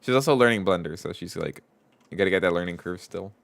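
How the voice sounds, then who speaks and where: Male streamer, stuffy nose, low-ish smooth voice, casual think-aloud coding chat. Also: quick pace. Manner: thinks aloud, reads chat aloud, trails off and restarts. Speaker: streamer and game developer.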